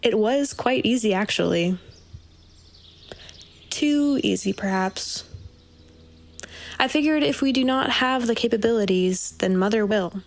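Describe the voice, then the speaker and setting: An actress playing a brisk, self-assured, scheming young witch in a period audio drama, matter-of-fact in manner. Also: a little high. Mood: smug, determined.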